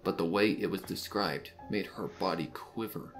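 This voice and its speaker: A man reading scripted narration for a dark fan fiction mystery story. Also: deep voice, moderate pace. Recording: music under the voice.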